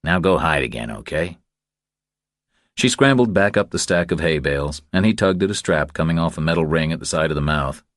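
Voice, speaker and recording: A man's voice: sits low, fast, hardly any pauses, no echo, clean studio sound. A man reading a crime thriller audiobook as a scripted narration.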